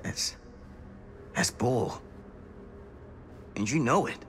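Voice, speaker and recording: An actor playing an agitated middle-aged former sheriff and desperate father in a video game, speaking urgently and haltingly with grave drama. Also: mid-range voice, lively intonation, a little hiss or hum. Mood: bitter, accusing. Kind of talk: cinematic video game acting.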